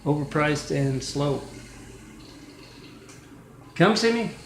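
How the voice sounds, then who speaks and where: A man's voice: fairly high pitch, animated, slow, roomy sound, background noise. A man vlogging in a rambling monologue to the camera, restarting often, recorded on a camera mic inside an apartment. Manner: rambling, restarts often, reads out numbers and addresses. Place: indoor apartment with a kitchen.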